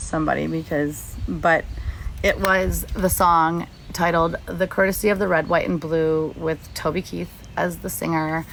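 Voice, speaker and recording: A young woman from the United States talks on a casual livestream in a lowish voice, rambling and candid with lots of likes and ums. She swears freely. Faint outdoor noise sits under her phone audio. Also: fairly even intonation, a little slow, creaky, low-quality recording. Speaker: livestream host. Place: outdoors at a beach or lake.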